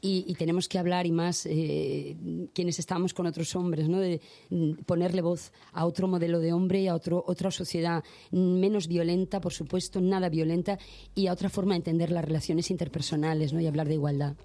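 A woman's voice: lowish voice, flat delivery, fairly quick, mellow, dry recording. A woman guest, a singer and activist, talking passionately in long runs. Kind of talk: casual interview chat.

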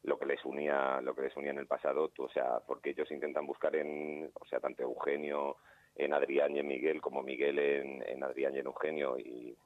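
Deep-voiced man on a phone line. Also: flat delivery, fairly quick, no room sound. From Spain. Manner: thoughtful, with many restarts. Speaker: playwright and actor guest. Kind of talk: casual radio interview.

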